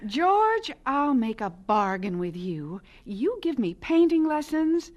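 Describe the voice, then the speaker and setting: A woman's voice: sits high, very animated, slow pace, dull audio, heavily acted. A woman acting in an audio drama as a genteel married lady who paints and teaches singing, eager and encouraging in a fluttery, exclaiming way.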